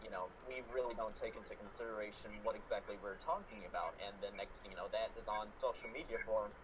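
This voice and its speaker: A man's voice: lowish voice, fast, background noise. A man hosting a podcast discussion, talking earnestly with many fillers. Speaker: podcast host. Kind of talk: casual discussion.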